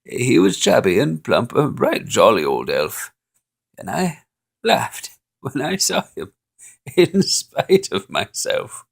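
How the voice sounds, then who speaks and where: A man reads a holiday poem aloud, playful and expressive. His voice is gravelly and unhurried. His pitch rises and falls in lively swoops. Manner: expressive, playful reading with chuckles. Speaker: storyteller.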